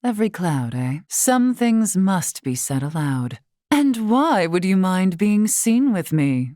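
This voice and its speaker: A woman playing a wise, courtly elder of a fantasy race in a video game, speaking formally and with measured, gently teasing poise. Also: medium pitch, big pitch swings, no room sound. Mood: fond, composed. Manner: formal, measured, archaic wording. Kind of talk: scripted game character dialogue.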